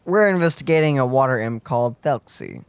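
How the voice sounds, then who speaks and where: A man's voice: mid-range voice, animated, brisk, vocal fry, clean tone. A man co-hosting a let's play, chatting casually and reading out dialogue choices. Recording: game music behind voice.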